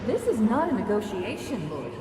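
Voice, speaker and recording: An actress voicing an imperious artificial intelligence turned tyrant in a video game, coldly calm, formal and commanding. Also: female voice, medium pitch, normal speed, crowd noise, natural voice. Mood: commanding threat. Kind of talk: dramatic video game dialogue. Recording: very echoey, long reverb, music behind.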